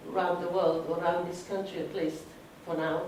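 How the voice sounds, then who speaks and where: A woman's voice: fairly low pitch, little pitch movement, normal speed, a little mellow. A woman in the audience giving an earnest, moved comment to filmmakers. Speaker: audience member. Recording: echoey hall, distant, some noise.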